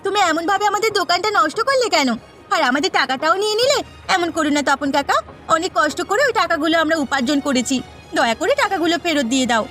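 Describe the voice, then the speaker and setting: A woman's voice: extremely high pitch, animated, fast, sharp tone, faint music behind, exaggerated voice. A woman voicing an earnest, eager young village snack-seller girl in a cartoon, sing-song and politely pleading. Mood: distressed. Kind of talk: Bengali cartoon voice acting.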